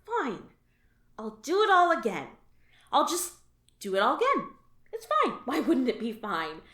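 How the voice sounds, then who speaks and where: A woman's voice: fairly high pitch, huge pitch swings, unhurried, fairly bright tone, reverberant, exaggerated voice. A woman playing a frazzled alien office worker, exasperated and sarcastic. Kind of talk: audio drama.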